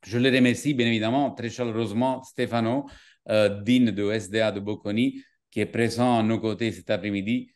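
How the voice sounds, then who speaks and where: A middle-aged man from southern Italy with an Italian accent, a native Italian speaker, giving a formal welcome speech with halting, repeated phrases. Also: fairly low pitch, fairly even intonation. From Capri, southern Italy. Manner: halting, with frequent repetitions. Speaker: business school director general giving an opening address.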